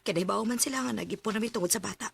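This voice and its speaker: Woman doing a breathy cebuano single mom in a radio drama, sorry and shy. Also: mid-range voice, very fast, full character voice. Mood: subdued. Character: young single mother, remorseful and shy. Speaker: former lover returned home.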